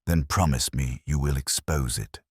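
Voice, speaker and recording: A man's voice: extremely low pitch, normal speed, a little mellow, husky, no room sound, studio quality. A man voicing an anxious, earnest noblewoman with a formal, pleading manner in an audio story. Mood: solemn.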